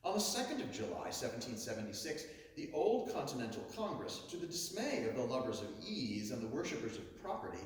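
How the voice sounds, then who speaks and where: A man giving a declamatory reading of a historic oration, with booming, dramatic swells and drawn-out stresses. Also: sing-song. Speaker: orator reading a historic speech. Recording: echoey hall, heard from far off.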